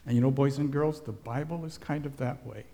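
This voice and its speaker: A man who grew up in Hawaii, giving a gentle children's sermon as a pastor and asking the children questions. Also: mid-range voice, brisk. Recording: long hall reverb.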